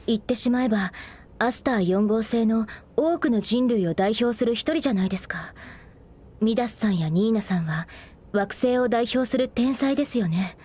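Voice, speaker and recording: A woman's voice: a little high, normal speed, darkish tone, husky. A woman voicing a friendly young female officer of a space federation in a game cutscene, explaining things politely and earnestly. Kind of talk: video game cutscene voice acting.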